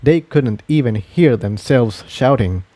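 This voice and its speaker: A man narrates an adventure story in a mellow, relaxed voice of middling pitch. The delivery is scripted narration, and the sound is close and dry. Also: a little slow, no echo.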